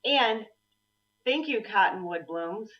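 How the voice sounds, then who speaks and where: A chatty woman co-hosting a relaxed live stream, her voice husky and low today. She sounds set back from the mic, and the audio is dull and lo-fi, as over a video call. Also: far from the mic. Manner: chatty, laughing, quick asides. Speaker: co-host. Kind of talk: casual live-stream chat.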